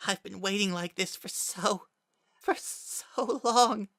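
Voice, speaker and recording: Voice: mid-range voice, slow, fairly bright tone, dry recording, studio quality, voice pushed up. A performer voicing a bitter, mocking, anguished lost child spirit in a strained, breaking voice, as in a dramatic storybook reading. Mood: bitter taunting. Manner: taunting and wounded.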